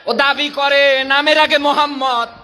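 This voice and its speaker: A man's voice: very high voice, fairly even intonation, unhurried, bright, forceful tone, some chatter behind. A Bangladeshi man preaching an impassioned Islamic sermon in a declamatory manner, prodding his listeners with questions. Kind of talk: fiery outdoor-rally sermon with Quran recitation. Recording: noisy live public-address recording.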